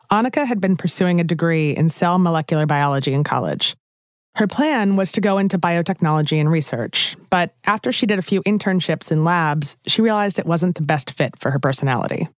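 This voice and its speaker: A woman hosting a podcast, calmly narrating a scripted story. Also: lowish voice, no room sound, studio quality. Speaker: podcast host.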